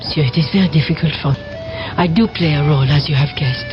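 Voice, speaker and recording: A woman's voice: low voice, quick pace, lightly acted. Mysterious woman played by an actress, affected French accent, old radio drama, muffled recording over prominent music. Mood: urgent warning. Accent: French, put on. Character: mysterious woman playing a coquettish French role, warning urgently. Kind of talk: 1970s radio drama acting.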